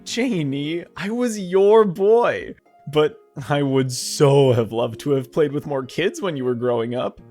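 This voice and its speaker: Eager, loving pet dog played by an actor, excitable and gushing, very animated delivery, for audio play. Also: mid-range voice, unhurried, soft background music. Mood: cheerful, sincere. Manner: gushing, excitable, breathy gasps and laughs. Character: eager, affectionate dog with human speech in pet heaven.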